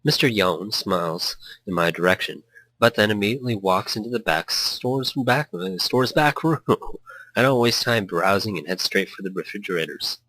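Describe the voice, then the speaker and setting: A man's voice: fairly low pitch, lively intonation, moderate pace, sharp tone, no room sound, poor audio. A man reading a story aloud and chatting casually as a let's player, prone to rambling. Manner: hyped, tangent-prone. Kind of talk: narration mixed with casual rambling commentary.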